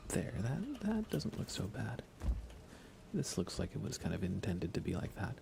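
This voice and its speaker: A soft-spoken man doing casual ASMR game commentary on a live stream, often slipping into whispers. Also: on the low side, wildly swooping pitch, moderate pace. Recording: clear background noise.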